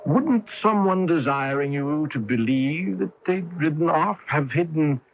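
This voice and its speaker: A shrewd courteous detective, old radio mystery, muffled am sound, very swoopy expressive voice, polite but probing. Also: male voice, a little high. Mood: assured, teasing. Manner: polite, deliberate, inquisitive. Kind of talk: old-time radio mystery drama acting. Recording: dull lo-fi AM radio broadcast.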